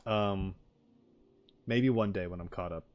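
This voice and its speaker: A man's voice: low-pitched, a little slow. A man streaming casually to viewers, rambling through his thoughts and acting out quotes with emphasis. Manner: rambling, emphatic quoting of others. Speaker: streamer reacting to game videos. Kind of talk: casual chat with viewers, theorizing.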